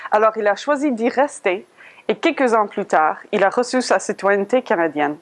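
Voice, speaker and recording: A woman giving a scripted virtual museum tour, reading a prepared text and putting questions to the listener. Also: mid-range voice. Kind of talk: scripted guided-tour narration.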